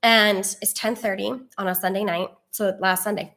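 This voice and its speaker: A Canadian woman chatting casually as a travel vlogger on a live stream, telling stories in an animated, giggly way and acting out exchanges. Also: medium pitch, normal speed. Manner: animated storytelling, acts out quoted exchanges; giggly, laughs often. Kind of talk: casual chat, answering viewer questions.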